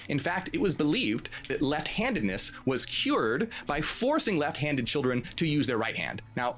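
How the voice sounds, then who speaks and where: A man's voice: on the high side, a bit bright. A man presenting scripted explanatory narration, posing emphatic rhetorical questions. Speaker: video presenter. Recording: music bed under the voice. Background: background music.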